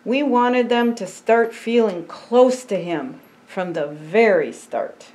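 An older woman reads a children's storybook aloud at a slow pace. Her pitch sits in the middle range. She phrases things simply and deliberately, stepping aside from the text to explain as to a child. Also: lively intonation, a little mellow. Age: 60s. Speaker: pastor and storytime reader. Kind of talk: reading a picture book aloud with asides to a child. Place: indoor storytime set by a fireplace.

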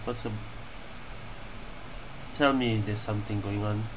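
Slow, offhand game streamer. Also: mid-range voice, fairly even intonation, noisy background. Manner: offhand, wry, swears casually. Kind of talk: casual gameplay reaction commentary.

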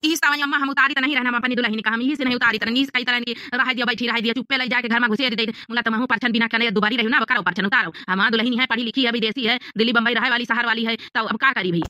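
An exasperated young cartoon bridegroom, voiced by a woman, pleads and argues in an Awadhi accent. The words tumble out extremely fast in a bright, tense, fairly high voice, dry and close. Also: steady tone, no echo. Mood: exasperated pleading. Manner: arguing, pleading. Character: young village bridegroom son, exasperated, defending his bride. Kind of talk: comedy cartoon voice acting in dialect.

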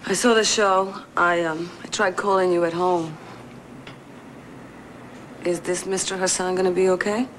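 A woman's voice: fairly low pitch, steady tone, unhurried, long pauses, lightly acted. An actress playing a wry, caring office worker in her thirties, with an American accent. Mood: concerned. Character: wry, caring young woman working in an office. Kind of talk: naturalistic television drama acting. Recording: noisy television drama soundtrack.

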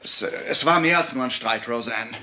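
A man's voice: mid-range voice, fairly quick, sharp tone, a little hiss or hum. An actor playing a hurt, insistent boyfriend, an earnest ordinary man in a dubbed German sitcom. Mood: evasive.

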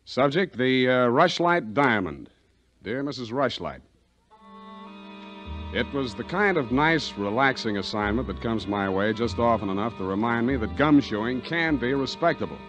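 An actor playing a wry, wisecracking hard-boiled private detective, dictating a report in 1940s radio style. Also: male voice, medium pitch, lively intonation, moderate pace, fairly bright tone, soft background music, natural voice. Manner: dry, wisecracking. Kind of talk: 1940s radio acting, first-person dictated narration.

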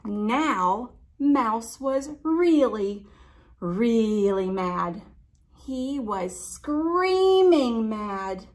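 A young woman reads a picture book aloud to children in a fairly high voice. Her delivery is very slow and expressive, stretching key words and turning to the listeners with simple questions. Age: young adult. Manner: expressive, drawn-out emphasis. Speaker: library storytime reader.

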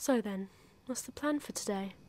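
A woman playing a warm, wry former investigator turned librarian in a full-cast audio drama. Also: medium pitch, normal speed, noisy background, close to an everyday voice. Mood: caring.

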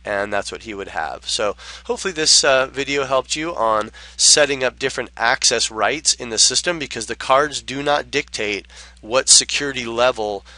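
A man narrating an unscripted software tutorial in a halting, explanatory way, speaking close to the mic as a trainer. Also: mid-range voice, dry recording, rough recording.

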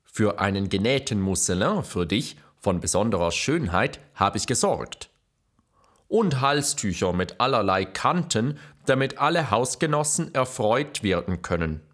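A man playing a courtly classical poet, reciting letters and verse in a measured, expressive way for an audio drama. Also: fairly low pitch, heavily acted. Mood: affectionate. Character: famous male poet reading his letters and verse, courtly.

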